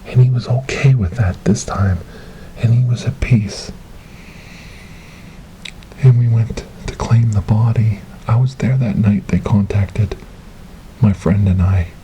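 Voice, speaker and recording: A man performing an ASMR monologue as a gentle, grieving storyteller in a witch-trial roleplay, speaking softly and haltingly in a breathy, often whispered voice close to the microphone. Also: on the low side, slight background noise, poor audio. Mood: solemn, reminiscing. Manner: slow, halting, earnest, soft-spoken. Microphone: close binaural microphone.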